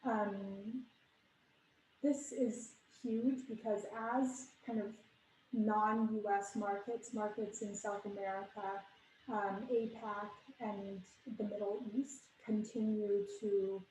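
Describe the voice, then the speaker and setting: A woman's voice: mid-range voice, flat delivery, slow, warm tone, reverberant, slight background noise, rough recording. A young adult woman from Canada giving an online conference talk in a loose, conversational way, with lots of fillers and restarts. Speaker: conference speaker.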